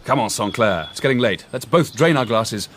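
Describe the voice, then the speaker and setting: An actor playing a brilliant, self-assured young detective reporter in a period radio drama, explaining things deliberately with dry wit. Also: male voice, mid-range voice, lively intonation, a bit bright, slight background noise, close to an everyday voice. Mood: wry.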